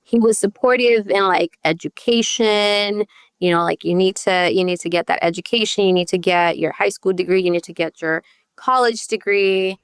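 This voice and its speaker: A woman's voice: medium pitch, steady tone, moderate pace, clean tone, no room sound, clean studio sound. A woman from El Salvador who grew up partly in Virginia, telling her personal story as a podcast guest in a candid, conversational way, often checking in with "you know" and "right?". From born in El Salvador, raised partly in Northern Virginia. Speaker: podcast guest, youth advocate.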